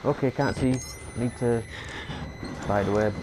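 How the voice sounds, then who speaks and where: A man's voice: mid-range voice, measured pace, noisy background. A man, stuffy from a cold, commentating casually on a horror game and stumbling over long words as he reads notes aloud. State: congested with a cold. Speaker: gameplay commentator. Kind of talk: casual let's play commentary.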